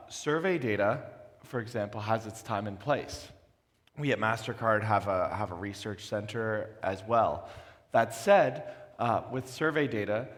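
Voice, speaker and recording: A man with a slightly raspy voice, answering questions on a conference panel in a measured way with frequent fillers. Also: on the low side. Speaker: consultant panelist. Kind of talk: onstage panel conversation. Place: large conference hall. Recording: long reverb of a conference hall.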